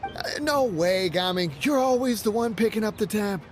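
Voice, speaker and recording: A playful, competitive young friend in a video game, his voice high and swinging up and down. He teases in a friendly way over a faint background hum. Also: animated, moderate pace. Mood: teasing. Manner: teasing, friendly.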